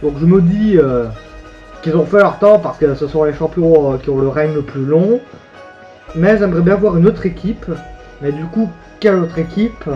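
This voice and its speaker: A man's voice: a little high, moderate pace, lo-fi sound, faint music behind. A young man rambling through wrestling predictions in casual solo commentary, full of hesitations and self-corrections. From French-speaking. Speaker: YouTuber giving wrestling predictions.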